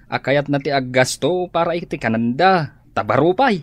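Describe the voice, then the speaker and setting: A man with a Filipino accent playing an excitable, easily amazed listener in a radio drama. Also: fairly high pitch, moderate pace, no room sound.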